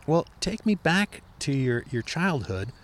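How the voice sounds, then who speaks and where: A man's voice: a little high, very animated, a little slow. A man, hosting an interview and asking eager, stumbling questions. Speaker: podcast host. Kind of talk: conversational interview.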